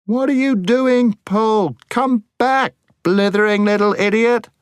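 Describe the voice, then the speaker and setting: A man voicing an alarmed, bossy schoolboy with urgent shouting in a storybook reading. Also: sits high, slow, smooth, no echo, clean studio sound, pitched above the performer's own voice.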